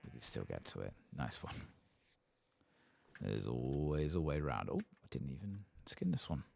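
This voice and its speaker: A soft-spoken man with an extremely deep voice full of fry murmurs his way through a game playthrough in ASMR style. His pitch moves around in a lively way, and keys click over faint noise. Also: normal speed. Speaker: game streamer. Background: keyboard typing and clicking.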